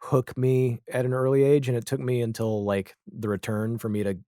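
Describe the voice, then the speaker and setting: A man's voice: medium pitch, level tone, moderate pace, warm tone, dry recording, clean studio sound. A man chatting casually as an interview guest, wry and opinionated. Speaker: podcast guest, audio drama creator. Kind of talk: casual interview chat about television.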